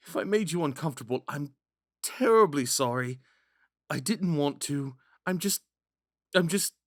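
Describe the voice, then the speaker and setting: Casting: male actor as shy, smitten young prince, gentle and hesitant, lightish pitch, animated intonation, intimate dry ASMR sound. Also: dry recording. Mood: flustered, apologetic. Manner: soft-spoken, hesitant, stammering when flustered. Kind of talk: ASMR roleplay, scripted monologue to a listener.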